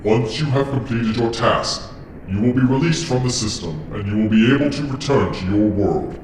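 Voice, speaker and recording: A man voicing a cold, imperious computer program that speaks in formal, measured commands. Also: medium pitch, lively intonation, darkish tone, natural voice. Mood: detached, formal. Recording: roomy, distant, with faint noise.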